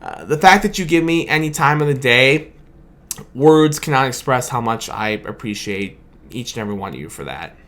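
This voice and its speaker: Young man, tired, voice going hoarse, rambling, repetitive, fan vlog sign-off. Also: medium pitch, animated, moderate pace, fairly bright tone. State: tired, losing his voice. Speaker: sports fan video host. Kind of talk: late-night post-game reaction monologue. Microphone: camera mic with his own light.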